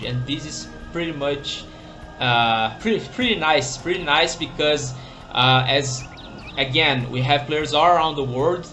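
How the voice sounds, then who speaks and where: A man's voice: fairly high pitch, sharp tone, rough recording. A man, a game developer presenting a screen-recorded demo, who often restarts sentences while searching for words. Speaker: game developer and server owner.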